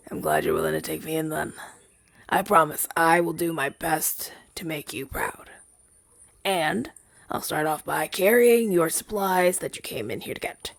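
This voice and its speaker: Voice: medium pitch, vocal fry, a bit bright, dry recording, exaggerated voice. A man voicing a shy, earnest crow familiar in an ASMR roleplay, hesitant and rambling, with stammers. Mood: hopeful, eager.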